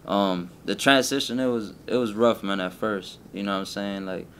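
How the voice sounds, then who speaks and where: A young man with a Colombian-Spanish accent, from Colombia and raised in Miami, talking about his life as a rapper in a casual interview, laid-back and halting with many restarts. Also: on the low side, a bit bright, slight background noise.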